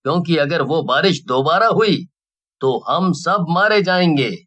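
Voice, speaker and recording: A man's voice: fairly high pitch, expressive, measured pace, pushed and bright, full character voice. A man voicing a panicky, selfish villager in a story, agitated, complaining and scornful. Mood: panicked.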